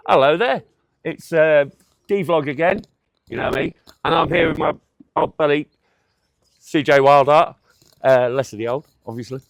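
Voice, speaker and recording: A man's voice: fairly high pitch, unhurried, long pauses, no room sound. A man in his forties hosting a casual, chatty interview outdoors in a park. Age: 40s. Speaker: interviewer, magazine series host. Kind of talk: casual on-location interview chat.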